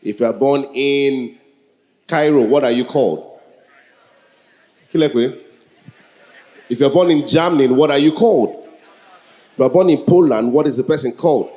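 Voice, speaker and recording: Mellow Nigerian man hosting on stage. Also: mid-range voice, normal speed, pauses often. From Lagos, Nigeria. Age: early 40s. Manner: joking, repetitive, rhetorical questions to the crowd. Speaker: event co-host, master of ceremonies. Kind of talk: live stage hosting with improvised banter.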